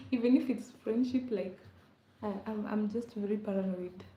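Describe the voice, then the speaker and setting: A woman's voice: medium pitch, a little slow, mellow. A young woman from Kenya, chatting casually with viewers on a livestream, laughing often and repeating her words. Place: rented room at home, indoors. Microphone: laptop mic. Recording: echoey room, dull lo-fi sound.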